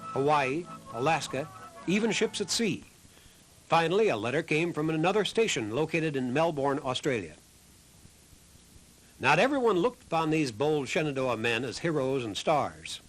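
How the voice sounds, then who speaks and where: Music plays clearly behind a man with a fairly high voice. He delivers scripted documentary narration as the narrator of the programme. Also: a bit bright, rough recording.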